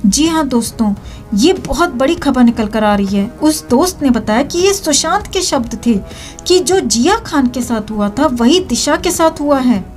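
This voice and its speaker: High-pitched Indian woman narrating news over music. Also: a little mellow. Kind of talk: scripted news narration addressed to viewers.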